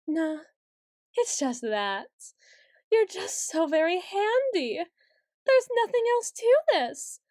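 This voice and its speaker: A woman's voice: extremely high pitch, very animated, slow pace, darkish tone, no echo, clean studio sound, heavily acted. A woman playing a haughty, petulant ancient female vampire in a scripted audio roleplay, speaking in a theatrical, imperious and archly formal way. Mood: flustered, imperious. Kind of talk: scripted ASMR roleplay monologue.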